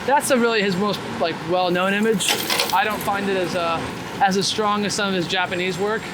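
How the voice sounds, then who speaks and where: A man's voice: high voice, steady tone, a little fast. A man being interviewed as a street photographer, talking casually and candidly with swearing and frequent filler words like "man". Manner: informal, profane, peppered with "like" and "man". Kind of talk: casual, candid interview chat while walking. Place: outdoors on a city street. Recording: clear outdoor traffic and city noise.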